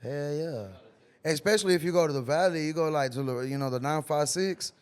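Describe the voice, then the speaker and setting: A man's voice: fairly high pitch, brisk, smooth. A young man from Texas, a rapper guest talking in a laid-back, slangy way. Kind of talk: casual chat.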